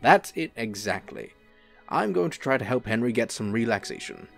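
Man playing a charming, creaky-voiced angel. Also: mid-range voice, big pitch swings, normal speed, fairly bright tone, soft background music. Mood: breezy, charming. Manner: smooth-mannered, reassuring, playful. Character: genial, unflappable, charming male angel. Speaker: actor. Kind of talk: radio-play style drama acting.

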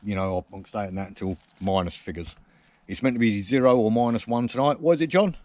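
A man's voice: on the low side, fast talker, darkish tone. A man talks to a camera set up close by in the woods. He teases a friend and pokes fun at himself in a loose, casual way. The sound is dry, with no room echo. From England. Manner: cheeky, teasing banter with dry self-mockery. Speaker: camping vlogger. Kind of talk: casual outdoor chat to camera. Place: woodland camp outdoors. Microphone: camera mounted close on a tripod.

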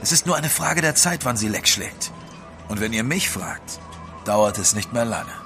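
A male actor as a blunt, practical seafarer in a radio adventure. He is matter-of-fact with a scornful edge, in a middle-pitched voice over music. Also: lively intonation, moderate pace, natural voice. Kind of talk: German radio drama acting.

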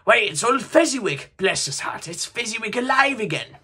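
A man voicing an old miser, talking in short, subdued replies that can turn excited. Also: medium pitch, moderate pace, breathy edge, heavily acted. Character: gruff old miser, softened, wistful.

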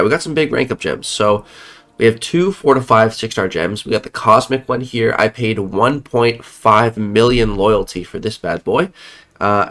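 A man's voice: on the low side, a bit bright. A young man giving casual commentary on a mobile game, sounding a bit off because he is sick, rambling and correcting himself as he talks into a headset at home. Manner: chatty, rambling, self-correcting. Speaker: mobile game content creator. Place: home room, indoors.

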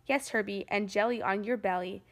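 A woman's voice: medium pitch, moderate pace, close to an everyday voice. A woman reading aloud and voicing a kind, resourceful young girl on a beach day, in an upbeat storybook manner.

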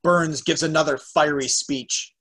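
A man with a husky voice reading stage directions as narrator of an amateur table read. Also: a little high, fairly even intonation, a little slow, fairly bright tone. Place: home room, on a video call.

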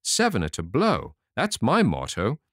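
A man's voice: mid-range voice, wildly swooping pitch, unhurried, no echo, studio quality. A man voicing a boastful, plucky little male tailor in a storybook reading, cocky and bragging.

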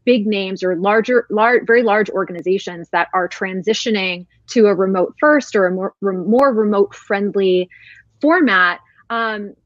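A woman's voice: mid-range voice, fairly bright tone, no room sound. A woman in her thirties hosting an interview in an upbeat, chatty way with many fillers, over earbuds on a video call. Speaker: host, company founder. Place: room at home. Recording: dry, dull video call audio.

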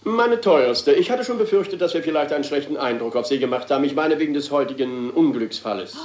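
An actor playing a middle-aged theatre director in a German radio crime drama, courtly, flowery and placating. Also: male voice, fairly high pitch, expressive, fast, a little mellow, natural voice. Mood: effusive. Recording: roomy, reverberant radio play sound.

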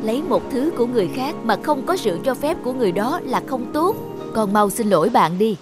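A woman playing a gentle but firm mother in a cartoon, explaining and admonishing in a measured way. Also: a little high, lively intonation, over music, close to an everyday voice. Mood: firm, reproving. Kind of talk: Vietnamese cartoon dubbing.

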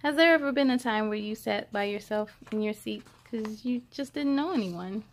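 A woman hosting a children's read-aloud, expressive, with chatty asides and questions for young listeners. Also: mid-range voice, moderate pace. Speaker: story time host.

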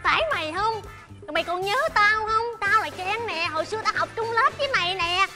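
Young woman, Southern Vietnamese accent, very high pitch, playful exclaiming guest, casual comic chat, loud music underneath. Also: fairly quick. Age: young adult. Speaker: talk show guest, YouTuber. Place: indoor studio set dressed as an old drinks shop.

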